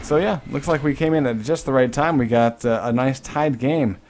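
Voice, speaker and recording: A man's voice: medium pitch, normal speed. Joking male esports caster over game noise. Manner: casual, joking, swears freely. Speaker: esports commentator. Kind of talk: live play-by-play game commentary.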